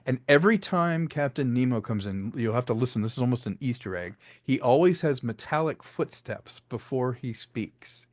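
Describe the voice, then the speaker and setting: A man's voice: medium pitch, lively intonation, moderate pace, few pauses, dry recording. A man being interviewed, explaining his creative work in a casual, storytelling way. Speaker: interview guest, filmmaker and audio drama creator.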